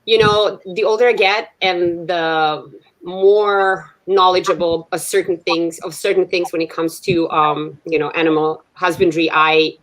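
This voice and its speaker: A woman's voice: lowish voice, fairly even intonation, measured pace, poor audio. A middle-aged woman from Serbia, a native Serbo-Croatian speaker, chatting as a singer-songwriter guest from her garage studio, hesitant with many fillers. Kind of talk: casual interview chat.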